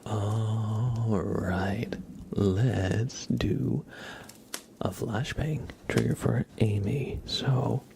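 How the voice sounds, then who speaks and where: A man hosting an ASMR livestream, chatting casually and gently with viewers in a soft, breathy, mostly whispered voice. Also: on the low side, fairly even intonation, a little hiss or hum. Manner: soft-spoken, gentle, unhurried.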